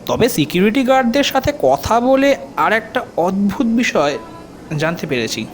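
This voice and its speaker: Man acting a high-pitched confident police officer. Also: very animated, soft background music. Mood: serious, explanatory. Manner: measured, explanatory, mixes in English terms. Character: male police officer, confident, explanatory, helpful friend of detective. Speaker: voice actor. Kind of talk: audio drama acting in Bengali detective story.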